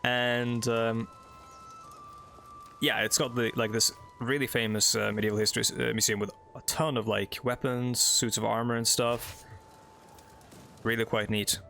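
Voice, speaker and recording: A young Norwegian man talks through a strategy game in loose, filler-filled asides, a Norwegian accent coloring his English. His voice sits mid-pitch with a gravelly fry. Faint music hums underneath. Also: little pitch movement, moderate pace, pauses often, sharp tone. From Norway. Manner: rambling, filler-heavy, chatty asides while reading game text. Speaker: let's play host. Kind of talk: casual gameplay commentary.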